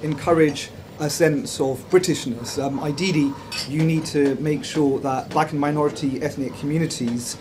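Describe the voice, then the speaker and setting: A man's voice: a little high, fairly even intonation, moderate pace, noisy background. A man at a debate arguing his point articulately, with frequent fillers. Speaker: debate attendee. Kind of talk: spoken argument in interview.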